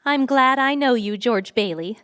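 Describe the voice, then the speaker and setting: A woman's voice: fairly high pitch, normal speed, background noise, natural voice. An actress playing a wistful, restless small-town woman in an old-time radio drama. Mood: wistful, sad.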